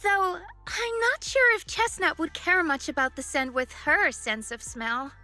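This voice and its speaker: A woman playing a gentle, dreamy girl in an anime-style video game, with soft, hesitant pauses. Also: very high-pitched, faint music behind, exaggerated voice. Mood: gentle, curious.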